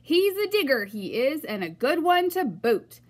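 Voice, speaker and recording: Woman playing a blustering pirate captain, loud and animated. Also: high voice, a little slow, pitched above the performer's own voice. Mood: hearty, curious. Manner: hollering, old-time pirate talk. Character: blustering, bossy male pirate captain, gruff and hearty.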